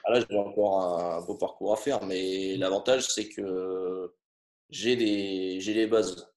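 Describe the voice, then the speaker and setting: A man in his thirties telling his story in a casual interview, pausing often with fillers. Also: low-pitched, steady tone, darkish tone. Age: 30s. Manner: hesitant, many fillers. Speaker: coaching client. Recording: roomy echo.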